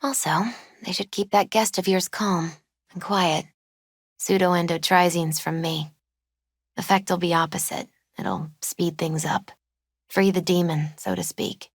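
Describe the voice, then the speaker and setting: A gentle, low-voiced mystical young woman. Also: expressive, measured pace, dry recording, studio quality. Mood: grave. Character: gentle, spiritual young woman, mystical tarot reader, soothing and earnest. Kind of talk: video game voice acting.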